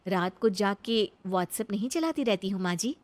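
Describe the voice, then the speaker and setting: A woman voicing a dutiful young working daughter-in-law in a cartoon story, polite and gently pointed. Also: medium pitch, expressive, unhurried, lightly acted.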